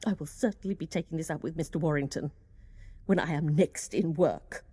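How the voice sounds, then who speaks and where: A woman's voice: low voice, fairly quick, dark tone, husky, dry recording. A woman playing a prim older office worker, easily affronted, stiff and haughty, in a radio play. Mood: indignant, haughty.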